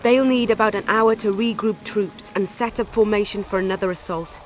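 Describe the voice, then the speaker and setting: A woman's voice: mid-range voice, steady tone, moderate pace, faint music behind, close to an everyday voice. A woman playing a composed, decisive young rebel commander in a fantasy video game, speaking firmly. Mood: grave, decisive. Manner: composed, firm, businesslike.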